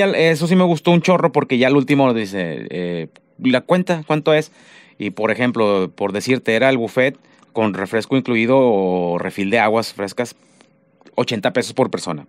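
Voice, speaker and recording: A man's voice: mid-range voice, no echo. A man, telling crude, joking stories as a radio podcast co-host. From northern Mexico. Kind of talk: casual comedic chat. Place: radio studio. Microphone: headset with studio mic.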